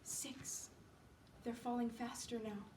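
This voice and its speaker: A woman performs a sick, resigned young woman in a dramatic recital. The voice is soft, faint and weary, trailing away. It comes distantly through an echoey, slightly noisy room. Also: on the high side, level tone, slow pace. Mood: faint, listless. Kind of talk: solo dramatic storytelling performance. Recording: echoey room, distant mic, background noise.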